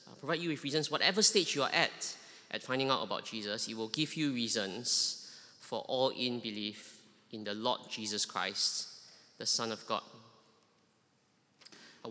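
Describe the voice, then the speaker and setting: A man's voice: mid-range voice, bright, forceful tone, long reverb tail. A man from Singapore with a Singaporean accent preaching a sermon, with frequent "uh" fillers and emphatic repeated questions.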